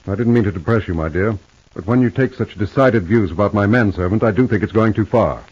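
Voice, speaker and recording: An actor playing a smooth, condescending rich estate owner with an American accent in a 1950s radio soap opera. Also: male voice, on the low side, fast, darkish tone. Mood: condescending, needling. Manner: suave, evasive, patronizing. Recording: muffled old radio recording.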